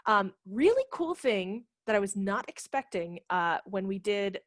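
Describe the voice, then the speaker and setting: A woman's voice: medium pitch, big pitch swings, measured pace, no room sound, studio quality. A woman in her thirties explaining things casually as an interview guest on a video call, speaking into a headset mic. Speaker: guest, theatre company managing director. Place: living room at home, video call. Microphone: headset microphone.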